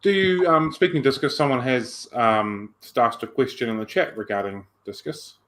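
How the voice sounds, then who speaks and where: Male host, mid pitch, upbeat casual chat, draws out his words. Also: normal speed, fairly bright tone, a little hiss or hum. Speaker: podcast host.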